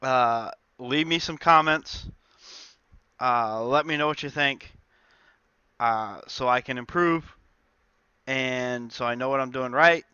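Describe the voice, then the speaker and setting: A man's voice: mid-range voice, takes it slowly, long pauses, bright, forceful tone, smooth, no echo, dull audio. A man with a slight cold, chatting casually through his game developer diary with lots of filler words. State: stuffed up with a cold. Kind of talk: casual dev diary talk.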